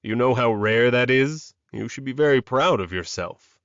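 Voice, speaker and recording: A man voicing a calm, protective male werewolf alpha in an audiobook, measured and reassuring. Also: mid-range voice, dry recording, dull audio. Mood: gently persuasive.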